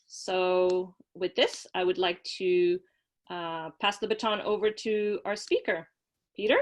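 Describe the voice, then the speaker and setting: A woman's voice: fairly low pitch, level tone, a little slow. Woman hosting a webinar. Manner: friendly, with frequent fillers. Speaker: webinar host from the marketing team. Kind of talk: hosting a webinar, reading poll results.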